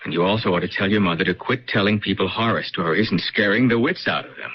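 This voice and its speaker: An actor in a radio drama playing a skeptical, frank suitor, dry and pointed but conversational. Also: male voice, low voice, fast, a little hiss or hum. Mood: frank, exasperated. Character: skeptical, frank man courting a secretive woman. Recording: dull lo-fi radio drama recording.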